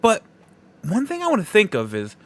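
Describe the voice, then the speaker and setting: A man's voice: high voice, wildly swooping pitch, normal speed. A man hosting a podcast solo, giving opinions in a casual, conversational and emphatic way. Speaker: podcast host.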